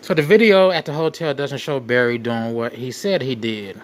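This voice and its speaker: A man hosting a crime commentary, reading out case details in a halting way and often repeating his phrases. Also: mid-range voice. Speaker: show host.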